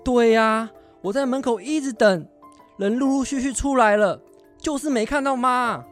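A man's voice: very high-pitched, normal speed, faint music behind. A man acting a worried, exasperated middle-aged father in a radio drama, with a Taiwanese accent and a fretful, scolding manner.

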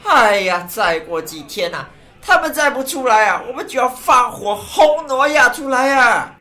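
Casting: actress as scornful heckler in a Bible drama, high sharp voice, very animated, mocking. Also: female voice, moderate pace, soft background music. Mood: angry. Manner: mocking, exclaiming. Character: jeering, scornful onlooker in a crowd mocking Noah.

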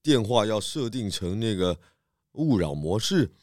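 A man's voice: fairly low pitch, very animated, measured pace, no echo, studio quality. A man voicing an easygoing, caring, food-loving pig detective in a children's audio story. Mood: sleepy, grumbling.

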